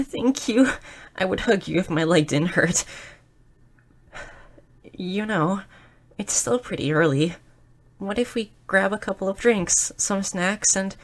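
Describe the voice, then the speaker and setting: A woman's voice: mid-range voice, animated, unhurried, pauses often. A woman playing a shy, clumsy, sweet young goblin girl who stammers earnestly in an intimate romantic audio roleplay. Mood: delighted. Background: music.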